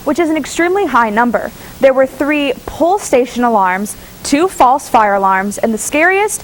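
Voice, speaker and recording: A woman giving a measured, formal news report as a student reporter in a studio. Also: high voice, slight background noise, rough recording.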